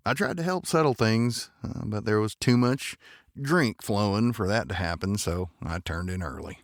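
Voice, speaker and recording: An actor playing a courteous, bashful cowboy gentleman in an audio drama, with folksy phrasing and polite hesitations. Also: lowish voice, moderate pace, no room sound, studio quality, lightly acted. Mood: hesitant, friendly.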